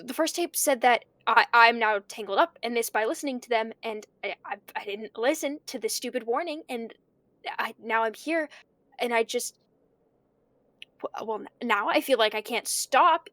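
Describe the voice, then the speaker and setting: A woman's voice: a little high, moderate pace, a bit bright, no room sound, lightly acted. A woman playing a panicky, conscience-stricken young student in an audio drama, stammering and rambling anxiously. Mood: anxious, overwhelmed. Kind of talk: audio drama monologue, naturalistic.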